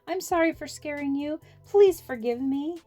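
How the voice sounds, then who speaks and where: A woman voicing a shy, timid little man with a squeaky voice who stammers and hesitates, in a storybook. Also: normal speed, dark tone, soft background music, close to an everyday voice. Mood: frightened.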